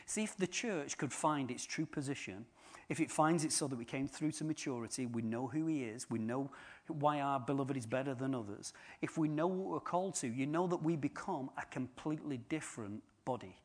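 A man's voice: mid-range voice, brisk, husky. Guy preaching, chatty, cracks jokes at the congregation. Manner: chatty, joking asides to the congregation. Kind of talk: informal sermon.

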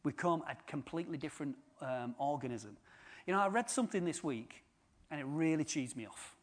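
A man's voice: a little high, expressive, fairly quick. A man giving an informal sermon, chatty and joking with the congregation. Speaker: preacher.

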